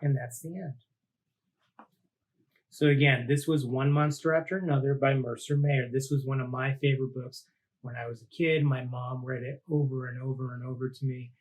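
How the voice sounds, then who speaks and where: A man reading a children's picture book aloud like a teacher, with deliberate dramatic phrasing and drawn-out words. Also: medium pitch, fairly even intonation, poor audio. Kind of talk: animated storybook read-aloud for children.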